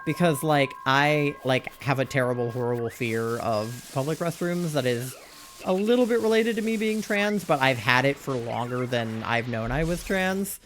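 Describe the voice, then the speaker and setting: A man's voice: medium pitch, expressive, moderate pace, fairly bright tone, slight background noise. Rambling young man chatting on stream. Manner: rambling and candid, heavy use of "like" fillers; exasperated, rhetorical questions. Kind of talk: casual chat while live-streaming a game.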